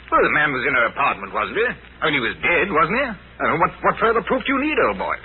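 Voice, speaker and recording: Tinny vintage radio comedy-relief colonel: British, vague, pompous, very lively intonation. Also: male voice, a little high, quick pace. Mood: flustered, officious. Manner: dithering, pompous, repeats himself. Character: bumbling, vague, self-satisfied British colonial police colonel. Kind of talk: 1940s radio adventure acting. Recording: tinny, hissy 1940s radio recording.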